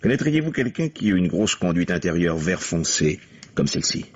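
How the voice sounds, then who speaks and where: An actor playing a stern, controlled police investigator who questions curtly and insistently in a vintage radio mystery. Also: male voice, low voice, very animated, moderate pace, husky, full character voice. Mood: grave. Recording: dull, lo-fi old radio recording.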